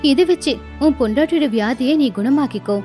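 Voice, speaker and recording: A woman voicing a benevolent mother goddess in an animated devotional story, speaking in a gracious, assured and caring manner. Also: sits high, fairly quick, faint music behind, natural voice. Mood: benevolent, reassuring. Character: benevolent mother goddess, first disguised as graceful lady.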